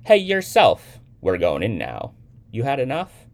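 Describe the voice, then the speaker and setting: A man reads as a playful, odd young man in a literary story. He speaks with mock gravity and animated pitch, in a low, creaky voice. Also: normal speed, exaggerated voice. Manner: whimsical, mock-serious storytelling. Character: playful, eccentric young man teasing a child, edgy with adults.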